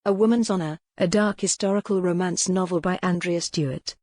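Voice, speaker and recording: A woman reading scripted historical romance narration as an audiobook narrator, in a measured, articulate storytelling manner. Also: on the low side, a little mellow, no room sound, studio quality.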